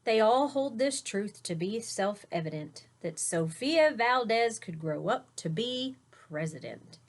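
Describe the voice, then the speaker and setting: A woman reading a children's picture book aloud like a teacher, with deliberate emphasis and short asides to her listeners. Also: medium pitch, animated. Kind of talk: expressive read-aloud storytelling for young children.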